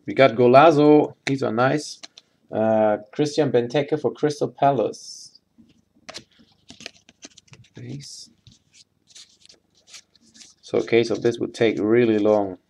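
A man giving casual, halting live commentary as he hosts a sports card box break, matter-of-fact in manner. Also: medium pitch, creaky, a little mellow. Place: indoors at a tabletop.

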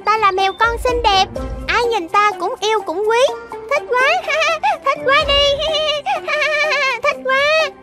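Squeaky, vain little cartoon kitten. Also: female voice, fairly even intonation, slow. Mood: delighted, vain. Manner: gushing, self-admiring. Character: vain, cute, eager young kitten. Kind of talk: children's cartoon voice acting. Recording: music behind the voice.

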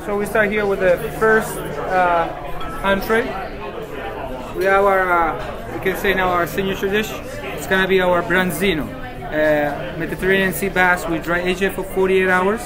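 A man's voice: high-pitched, steady tone, normal speed. A man serving at a restaurant table, politely explaining each dish with some hesitations. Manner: polite, informative, with hesitant fillers. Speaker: restaurant server. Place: busy restaurant dining room. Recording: music and crowd behind.

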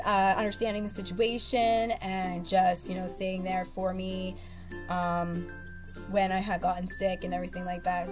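Stuffy-nosed young woman vlogging from her bedroom. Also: mid-range voice. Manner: rambling, lots of fillers and restarts. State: congested, stuffy from a cold. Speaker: beauty vlogger. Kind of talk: casual haul vlog to camera. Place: bedroom at home, indoors. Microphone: webcam at arm's length.

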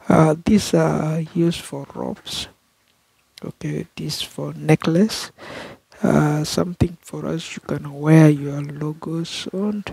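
A middle-aged man who is not a native English speaker, rambling slowly off camera and repeating words over and over as he walks through his screen and apartment on a phone camera. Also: a little high, creaky, a little mellow, slightly rough, no room sound. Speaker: channel host. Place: apartment room with a window view. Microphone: phone camera held off-camera.

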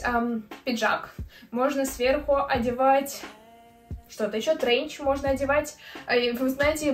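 A woman in her twenties, a fashion vlogger, chatting casually and upbeat about outfits, recorded indoors by a camera at medium distance. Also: high-pitched. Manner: chatty, upbeat, frequent fillers. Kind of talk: casual outfit try-on chat. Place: indoor room with clothing racks. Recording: roomy echo with faint music.

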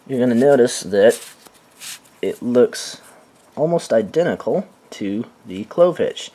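A man calmly talking through how to tie knots step by step, filmed indoors with the camera looking down at his hands. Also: lowish voice, husky. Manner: calm, unhurried, with soft fillers. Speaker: knot-tying instructor. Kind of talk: step-by-step demonstration. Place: indoors, filming hands over a carpeted floor.

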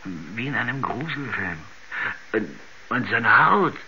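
An actor playing a rambling, uneasy village shopkeeper who stammers and repeats himself in a 1970s radio drama. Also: male voice, mid-range voice, big pitch swings, slow pace. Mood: hesitant, rambling. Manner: hesitant, stammering, repetitive, rambling. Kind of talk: 1970s science-fiction radio drama acting. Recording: dull, noisy 1970s radio recording.

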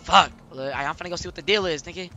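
A man's voice: fairly high pitch, rapid-fire, pushed and bright, soft background music. A young man in casual video game roleplay, talking in profane, repetitive street slang. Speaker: roleplay gamer.

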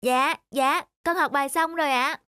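A woman as an eager, high-voiced young boy. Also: steady tone, moderate pace, bright, forceful tone, dry recording, studio quality. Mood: obedient. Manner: polite, pleading. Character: eager, polite young boy, confident apprentice silversmith. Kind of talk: animated moral storytelling.

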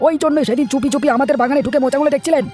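A man's voice: extremely high pitch, fairly even intonation, rapid-fire, a little mellow, faint music behind, pitched above the performer's own voice. A man voicing a hot-tempered village garden owner in a fairy tale cartoon, speaking emphatically. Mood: angry, accusing.

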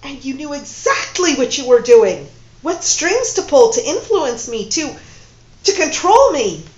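Betrayed woman, tearful and furious, loud accusing outbursts at a raised pitch, read by a woman narrator, dull roomy recording. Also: very animated, unhurried. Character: distraught, furious woman who feels betrayed.

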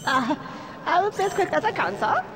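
A man's voice: very high voice, sing-song, moderate pace, heavy background noise. A man voicing a cowering, foul-mouthed weasel scientist in a video game, stammering and grumbling with a German accent. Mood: nervous, servile.

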